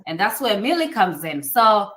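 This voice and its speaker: A woman's voice: medium pitch, big pitch swings, normal speed, echoey room, noisy background. A woman hosting a live stream, chatting casually and giving advice, with a laugh and many fillers.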